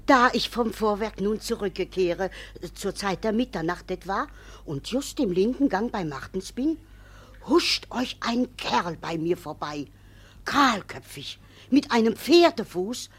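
An excitable, superstitious village witness in a classic comedy radio play. Her husky voice rises and falls dramatically as she spins out her testimony with emphatic, gossipy relish. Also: mid-range voice, measured pace. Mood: insistent, dramatic.

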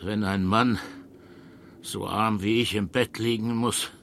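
Slow, miserly bedridden old man. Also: lowish voice, steady tone, creaky, a bit bright, heavily acted. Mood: weary, solemn. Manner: laboured, halting speech in short phrases. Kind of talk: radio drama acting. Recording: noticeable background noise.

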